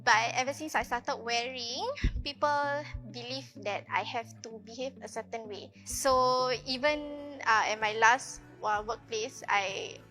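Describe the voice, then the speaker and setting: Bright-voiced young woman. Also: fairly high pitch, measured pace, faint music behind. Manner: candid, conversational. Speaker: clinic assistant. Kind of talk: panel discussion.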